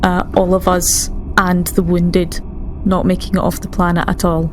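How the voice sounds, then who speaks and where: Female tabletop gamer, lowish pitch, measured formal discussion in character, clear background noise. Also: flat delivery. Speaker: player in a tabletop roleplaying game. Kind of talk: in-game discussion.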